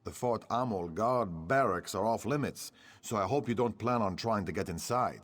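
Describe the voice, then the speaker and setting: An actor playing a secretive, scheming fort official in a fantasy video game, speaking in a measured, officious, insinuating way. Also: male voice, lowish voice, natural voice. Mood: officious, sly.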